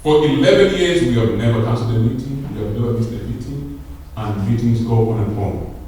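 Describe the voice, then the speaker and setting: A man's voice: medium pitch, fairly quick, dark tone. Man giving an opening address, preacher-like emphasis, heard from far off in a very echoey hall with faint room noise. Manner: preacher-like, rises to emphatic peaks. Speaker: conference founder giving opening speech. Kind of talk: public speech. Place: large hall. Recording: echoey hall, distant mic.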